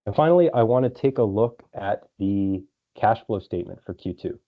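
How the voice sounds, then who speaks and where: A man's voice: fairly low pitch, animated, normal speed. A man presenting financial results as a company executive on an earnings call, in a businesslike, explanatory way. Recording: dull lo-fi conference call audio.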